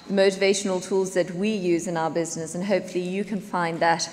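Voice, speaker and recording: A woman's voice: lowish voice, little pitch movement, quick pace, a little mellow. A South African woman in her late thirties giving an upbeat, earnest talk at a business conference. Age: late 30s. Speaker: conference speaker, company executive. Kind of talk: presentation to an audience. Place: conference hall. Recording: long reverb of a conference hall.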